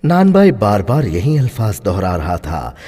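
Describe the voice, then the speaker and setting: A man narrating a scripted moral story in a dramatic, expressive way. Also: on the low side, moderate pace. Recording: long hall-like reverb.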